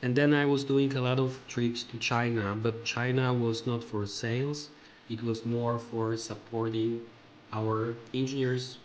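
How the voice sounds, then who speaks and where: A middle-aged man from Europe with a European accent, speaking English as a non-native language, recalling his travels in a plain, halting way for a vlog. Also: medium pitch, little pitch movement, a little hiss or hum, low-quality recording. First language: not English. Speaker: vlogger. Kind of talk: personal travel recollections to camera.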